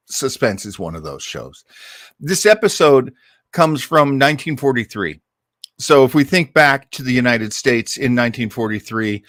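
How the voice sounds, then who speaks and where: A man chats casually as the host of a listening show, his voice sitting at a middling pitch. He rambles in a jokey, self-deprecating manner, and the sound is dry and free of room echo. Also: fairly bright tone. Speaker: host of an old-time radio listening show. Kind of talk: casual livestream chat.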